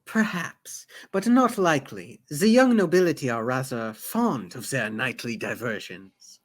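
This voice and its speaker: A man's voice: medium pitch, lively intonation, unhurried. A man playing a courteous, formal steward who tutors his mistress, in a fantasy novel read aloud. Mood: instructive. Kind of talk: acted reading of a fantasy novel.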